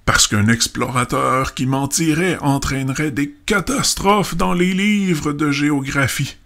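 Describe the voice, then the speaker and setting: A man voicing a self-important old scholar in a storybook dramatization, deliberate, pompous and pedantic. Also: fairly high pitch, vocal fry, a bit bright.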